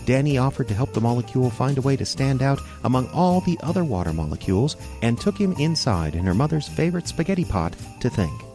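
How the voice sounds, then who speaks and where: Lively male children's story narrator over music. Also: medium pitch, fast talker, hardly any pauses. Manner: storyteller, addressing young listeners directly.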